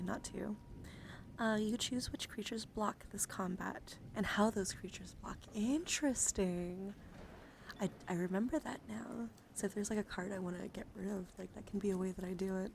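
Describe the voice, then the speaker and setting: A woman streamer chats softly in a casual ASMR manner. Her mid-pitched voice has a marked creak and sometimes slips close to a whisper. There is noticeable noise behind her. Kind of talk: casual ASMR-style card show-and-tell livestream. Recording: clear background noise.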